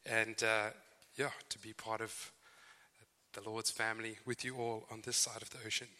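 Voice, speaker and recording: A man's voice: lowish voice, little pitch movement, normal speed, pushed and bright. A man with a Zimbabwean accent, preaching a sermon as a visiting speaker in a friendly, chatty way with fillers and asides. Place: church hall. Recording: church hall reverb.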